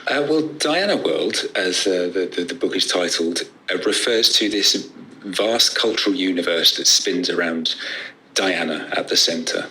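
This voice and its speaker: A man being interviewed about his book, who answers haltingly with fillers and repeated words. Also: low voice, bright, forceful tone, a little hiss or hum, low-quality recording. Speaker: author, interview guest.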